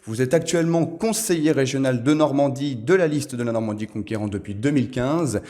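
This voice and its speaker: A male podcast host with an average-pitched voice conducts a formal interview. His phrasing is courteous and wordy, with frequent hesitation as he builds long questions and introductions. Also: a little fast, a little mellow.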